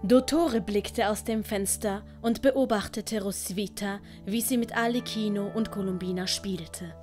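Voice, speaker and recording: A woman narrates an audiobook. Her voice sits at a medium pitch as she reads the scripted story, with music playing underneath. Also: unhurried, darkish tone.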